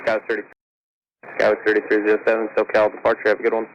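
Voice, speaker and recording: A man's voice: on the low side, monotone, racing, dull audio. A man working as an air traffic controller, giving clipped, procedural instructions to aircraft over a radio. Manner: procedural, matter-of-fact. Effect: aviation radio. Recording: noisy, band-limited air traffic radio.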